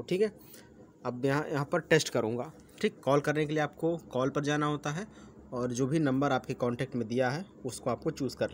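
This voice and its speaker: A man talks viewers through things like a tech channel host. His voice sits at an ordinary middle pitch and his delivery is chatty and instructional. The homemade recording carries clear noise. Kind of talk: presenter-style app tutorial to viewers. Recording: clearly noisy amateur recording.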